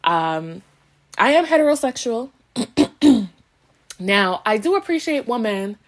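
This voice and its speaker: A woman's voice: fairly high pitch, big pitch swings, slow pace. A woman in her late twenties from Canada, co-hosting a podcast in a casual, playful, sassy conversational style full of slangy asides and repeats. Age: late 20s. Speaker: podcast co-host. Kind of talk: casual self-introduction, conversational podcast talk.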